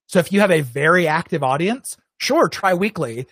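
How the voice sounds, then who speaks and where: A man from Arizona, a guest in an interview, giving relaxed, conversational advice and acting out what viewers might think. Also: fairly high pitch, moderate pace, dry recording, studio quality. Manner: relaxed, conversational, acts out imagined viewers' thoughts.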